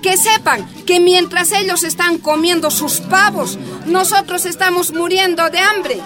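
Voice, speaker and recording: An actress playing a determined, impatient miners' activist in a radio drama, insistent and declamatory, with a Bolivian accent. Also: female voice, very high-pitched, fairly even intonation, unhurried, music in the background, a crowd in the background.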